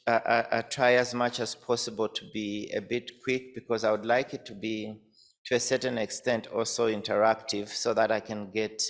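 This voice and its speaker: A man from Zambia presenting as an academic, hesitant with many fillers and repeated words. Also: lowish voice. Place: conference hall. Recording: reverberant conference hall, off-mic at times.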